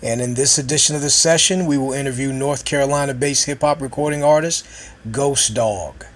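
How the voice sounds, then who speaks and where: A man hosting a podcast interview, a little hoarse from a cold, with a scratchy voice. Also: mid-range voice, fairly quick. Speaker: podcast host.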